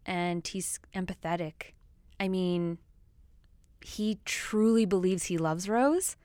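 A woman's voice: on the low side, slow pace, mellow, clear, resonant tone, dry recording. A woman who grew up speaking Swahili, talking at length in a casual film discussion, outspoken, with many fillers.

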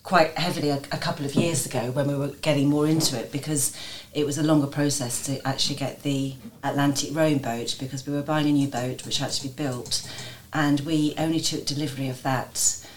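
A woman in her fifties, from Jersey, speaks in a notably low register. She explains things at length as a guest, often restarting her sentences and choosing her words with care. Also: fairly even intonation, brisk, few pauses, slight background noise. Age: 50s. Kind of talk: casual interview answers.

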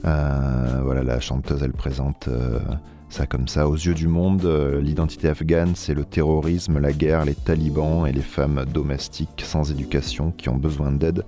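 Very deep-voiced hesitant French radio host. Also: male voice, flat delivery, normal speed, mellow, soft background music. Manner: hesitant, many filler sounds. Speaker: radio music show host. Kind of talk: casual radio presenting.

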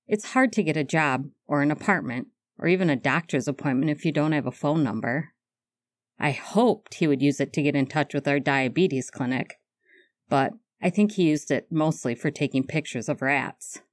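A woman voicing a gentle earnest man. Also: deep voice, moderate pace, no echo, lightly acted. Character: gentle kind man, social worker.

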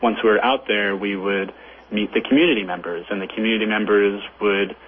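A man with a lowish voice talks as an interview guest. He answers conversationally. He comes through a narrow phone connection with faint noise. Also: fairly even intonation, a little fast. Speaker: film director, interview guest. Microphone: phone call.

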